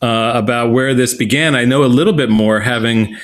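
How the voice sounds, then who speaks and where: A man hosting a podcast, chatting casually with many fillers and reading an ad in a relaxed way. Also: mid-range voice, fairly quick. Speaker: podcast host. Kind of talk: casual podcast chat and host-read ad.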